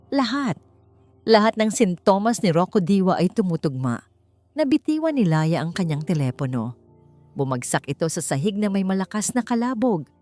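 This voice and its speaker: A woman's voice: medium pitch, darkish tone. A woman with a Filipino accent reading a dramatic story as an audiobook narrator. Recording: music bed under the voice. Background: background music.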